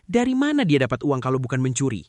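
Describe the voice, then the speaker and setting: A man's voice: mid-range voice, very animated, fast, darkish tone, no echo, close to an everyday voice. A man playing a stern neighbourhood chief in a cartoon drama, authoritative and admonishing. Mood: stern, accusing.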